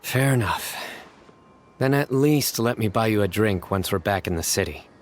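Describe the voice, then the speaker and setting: An actor playing a stern, reserved young desert investigator who speaks in a measured, deadpan way and sighs often. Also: male voice, fairly low pitch, slight background noise, natural voice. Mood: calm, grave. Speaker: lead investigator.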